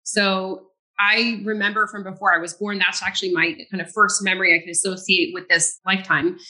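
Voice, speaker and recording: A woman who grew up in France, with French as her first language, answers questions as an interview guest. Her voice is bright and forward, and she sounds earnest and reflective. She laughs easily as she talks. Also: medium pitch, little pitch movement, fairly quick, rough recording. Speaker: guest, psychic. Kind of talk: casual interview conversation.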